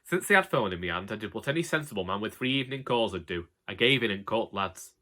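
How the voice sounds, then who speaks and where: A man's voice: lowish voice, fast talker. A man voicing a wry, long-suffering elderly husband with a Northern English accent in a colloquial comic audiobook monologue. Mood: grumbling, wry. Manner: colloquial, wry, deadpan grumbling. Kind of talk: first-person comic monologue, audiobook narration.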